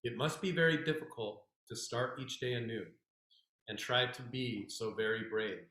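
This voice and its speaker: A man's voice: medium pitch, normal speed, pushed and bright, echoey room, poor audio. A man reading a rhyming poem aloud at a virtual event. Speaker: poem reader. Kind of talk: poetry reading.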